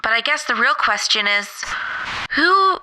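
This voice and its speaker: A woman's voice: on the high side, very animated, a little slow, bright, forceful tone, slight background noise, low-quality recording. A woman reading dramatic scripted narration, like the recap of a serial story.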